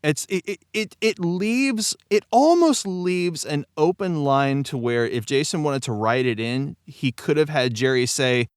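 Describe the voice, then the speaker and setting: A man talking casually as a voice actor on a panel about his work, telling it like an animated storyteller. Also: on the high side, a little slow, few pauses, smooth, no room sound, studio quality. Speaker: voice actor discussing his role.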